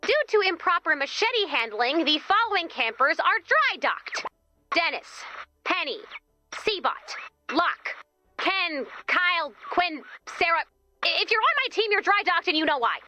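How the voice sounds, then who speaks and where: A very high-pitched, bossy camp leader for a video game, peppy and easily exasperated. Her delivery swoops up and down with lively, animated swings, and the tone is bright and forceful. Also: a little slow, no echo, soft background music, heavily acted. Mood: stern, bossy. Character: chirpy, bossy robot woman running a summer camp.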